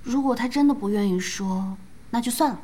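Calm, higher-voiced young woman played by an actress. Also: natural voice. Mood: measured. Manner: measured, thoughtful. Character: calm, perceptive young woman, level-headed. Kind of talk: audio drama acting. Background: background music.

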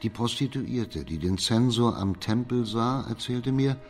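An actor playing a shrewd, authoritative judge in a German radio crime drama, speaking in a measured, formal way. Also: male voice, mid-range voice, expressive. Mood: grave, deliberate. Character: authoritative, shrewd male judge in imperial China.

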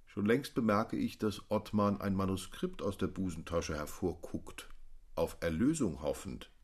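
Low husky voice, decisive agitated gentleman, formal, emphatic, literary radio drama. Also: animated, measured pace, exaggerated voice. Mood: unsettled, firm.

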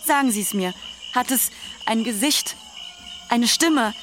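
A woman's voice: fairly high pitch, unhurried, fairly bright tone. An actress playing an anguished, distrustful young woman in love, insistent and intense, in a radio drama. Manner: insistent, questioning. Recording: faint background noise.

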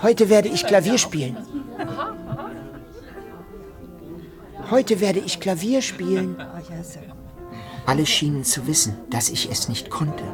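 A man's voice: a little high, lightly acted. An actor playing an awkward, fragile, overwrought man, narrating a literary radio play theatrically. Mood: giddy insistence. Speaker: first-person narrator and protagonist. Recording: music behind the voice.

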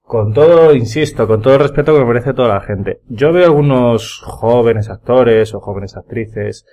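A man's voice: mid-range voice, normal speed, mellow. A man with an accent from Spain chatting casually and effusively with friends on a podcast. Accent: Spanish from Spain. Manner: effusive, emphatic. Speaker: podcast panelist. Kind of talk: casual chat among friends. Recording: noisy room with hum.

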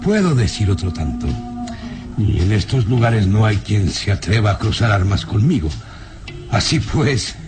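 An actor playing a proud, menacing old baron in a radio drama, speaking haughtily and deliberately. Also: male voice, on the low side, sing-song, over loud music.

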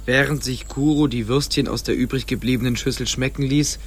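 A man's voice: medium pitch, steady tone, brisk, slightly rough. A man narrating a story as scripted narration for a German radio play.

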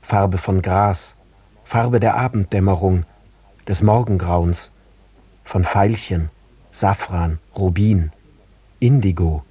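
A man narrates literary prose for a radio drama. His voice is low and mellow with a husky grain, recorded very dry. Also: no room sound.

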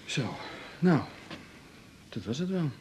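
An actor playing a casual, evasive boyfriend in a naturalistic radio drama. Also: male voice, on the high side, very animated, slow pace, background noise. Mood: helpful.

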